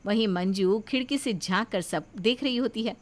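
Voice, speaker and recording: A woman's voice: mid-range voice, normal speed. A woman narrating a scripted moral story as a storyteller.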